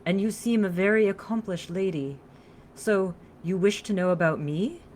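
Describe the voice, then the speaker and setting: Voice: mid-range voice, normal speed, a little mellow, slight background noise, pitched above the performer's own voice, lightly acted. Narrator putting on an earnest French vampire woman. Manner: earnest, flowing, formal speech. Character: earnest, solemn French female vampire storyteller.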